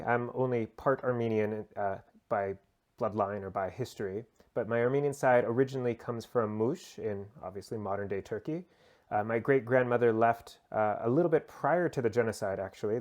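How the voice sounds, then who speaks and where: A man's voice: lowish voice, a little mellow. A man with an American accent answering interview questions conversationally, thinking aloud with small asides. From United States. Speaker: interview guest, human rights lawyer.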